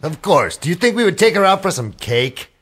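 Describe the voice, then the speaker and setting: An actor playing a sardonic, menacing henchman in an old-style radio drama, mocking and deliberate. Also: high voice, big pitch swings, fairly bright tone, a little hiss or hum. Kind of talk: 1940s radio drama acting.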